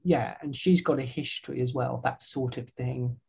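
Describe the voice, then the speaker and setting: A woman's voice: extremely low pitch, fairly even intonation, moderate pace, vocal fry, dark tone, breathy edge, lo-fi sound. An older woman, earnestly answering interview questions with frequent restarts and repeated words. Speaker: website founder, guest.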